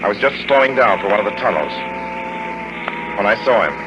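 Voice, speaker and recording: An actor playing a young motorist in a 1940s radio drama, with a dramatic, measured way of talking. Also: male voice, on the low side, lively intonation, music in the background, close to an everyday voice. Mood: uneasy, brooding. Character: young male motorist, confident but growing uneasy. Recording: muffled old radio recording.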